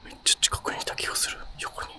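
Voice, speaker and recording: A man's voice: quick pace, in a whisper. A scared man vlogging a ghost hunt outdoors at night in casual, jumpy talk full of exclamations and muttering. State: frightened. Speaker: ghost-spot explorer and video host. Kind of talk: on-location paranormal vlog, casual talk. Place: outdoors on a suspension bridge at night. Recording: noisy outdoor location sound.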